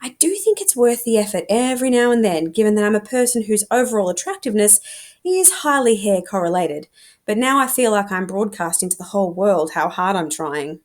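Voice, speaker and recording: A woman reading a young adult novel aloud like a teacher, in an informal, expressive storytelling manner. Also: mid-range voice, a little fast.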